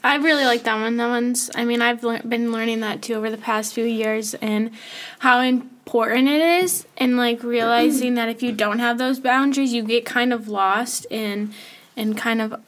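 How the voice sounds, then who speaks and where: A woman's voice: on the high side, flat delivery, normal speed, a bit bright. A young woman co-hosting a casual faith-based podcast, talking earnestly and thinking aloud with small restarts. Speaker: podcast co-host.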